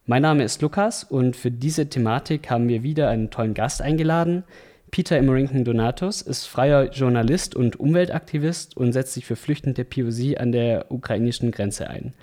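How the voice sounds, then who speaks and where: Mellow-voiced male podcast host. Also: medium pitch, moderate pace. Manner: reads a prepared intro, steady and clear. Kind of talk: scripted intro and interview questions.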